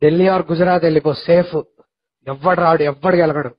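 High-pitched young man on a muffled call. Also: fairly even intonation, a little fast, a little hiss or hum. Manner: insistent, advising. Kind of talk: casual phone conversation. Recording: muffled lo-fi phone call recording.

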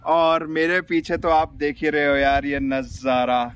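Unhurried Indian man vlogging outdoors. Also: fairly high pitch, fairly even intonation, fairly bright tone, clear, resonant tone. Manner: mixes Hindi and English, informal and enthusiastic. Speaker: travel vlogger and host. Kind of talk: casual travel vlog chat to camera. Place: outdoors at a hillside viewpoint. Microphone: phone camera.

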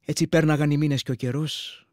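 An actor playing a wounded village war veteran who reminisces intimately and plainly, with a husky voice, in a radio play monologue. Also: male voice, fairly high pitch, fairly even intonation, darkish tone, no room sound, clean studio sound, lightly acted. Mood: wistful.

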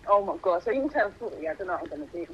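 Young woman on a video call, mid pitch, kinda muffled lo-fi audio, rambling. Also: lively intonation, very fast, husky. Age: young adult. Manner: chatty, fumbling with numbers. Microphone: phone video call.

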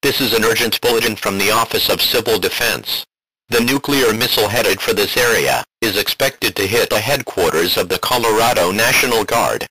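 A man's voice: lowish voice, normal speed, sharp tone, breathy edge, no room sound, low-quality recording. A man reading a scripted emergency alert as a broadcast announcer, formal and impersonal.

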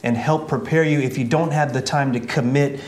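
A middle-aged man co-hosting a church program, measured and formal in phrasing. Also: medium pitch, steady tone. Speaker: minister co-hosting and closing the program. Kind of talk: church discussion and program outro. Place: small indoor studio with a wall screen. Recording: roomy studio echo.

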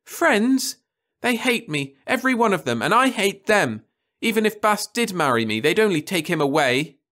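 A man's voice: high-pitched, very animated, normal speed, bright, forceful tone, pitched above the performer's own voice, exaggerated voice. A man voicing a wailing, petulant young woman. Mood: bitter. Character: whiny, sulky nineteen-year-old girl.

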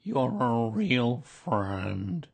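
A gloomy storybook donkey, acted out by a man, speaking very slowly in a husky voice. He is self-pitying and resigned, and drags out each phrase mournfully. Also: medium pitch, animated, exaggerated voice. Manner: mournful, drawn-out, resigned delivery. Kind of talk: children's storybook character reading.

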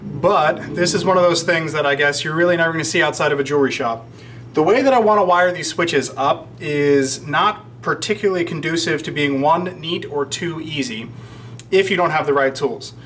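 Higher-voiced American man, wry and rambling. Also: normal speed, fairly bright tone. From United States, Georgia. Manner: rambling, wry self-deprecating asides. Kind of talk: casual instructional vlog, explaining to camera. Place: indoors in a shop workroom under renovation. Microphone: camera microphone at about arm's length. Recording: faint background noise.

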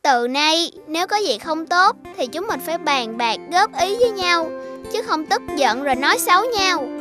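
A woman's voice: extremely high pitch, lively intonation, moderate pace. A woman voicing a sweet, earnest little schoolchild who keeps the peace, in a children's cartoon style. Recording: faint music behind the voice.

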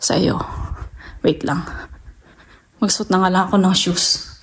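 A woman with a Filipino accent chats casually to viewers as she gives a house tour, halting now and then and mixing in English words. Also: lowish voice, steady tone. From Philippines. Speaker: vlogger, homeowner giving a house tour. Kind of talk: casual vlog chat to viewers. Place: walking through a large house basement and outdoors in snow. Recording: noisy handheld recording on the move.

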